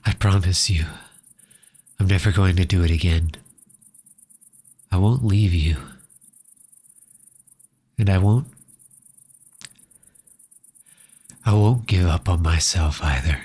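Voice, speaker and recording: A deep, very breathy man's performance of a grieving young orphan in an intimate audio roleplay. The words come slowly and haltingly, often sinking into a whisper. The temper is tender and lonely, addressed closely to a beloved cat. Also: a little mellow. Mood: tender, remorseful. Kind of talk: soft ASMR roleplay acting.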